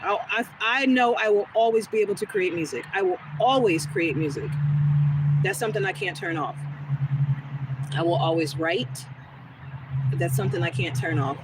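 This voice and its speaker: A woman with a smooth, soothing voice, chatting casually with viewers during a live stream and answering their comments as she goes. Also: lowish voice, moderate pace, pauses often. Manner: relaxed, rambling, talks back to chat comments. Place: outdoors on a quiet street. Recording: noisy outdoor phone recording.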